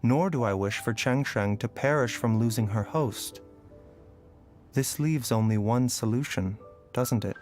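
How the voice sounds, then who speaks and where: A man playing a calm, gentle physician in a game, who speaks in a thoughtful, reflective and resolute way. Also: mid-range voice, measured pace, faint music behind, natural voice.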